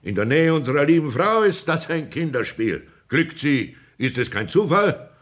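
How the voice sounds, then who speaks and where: A man's voice: fairly high pitch, very animated, moderate pace, fairly bright tone. A man playing a pompous old country doctor who lectures theatrically in a 1960s radio play. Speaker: Sanitätsrat.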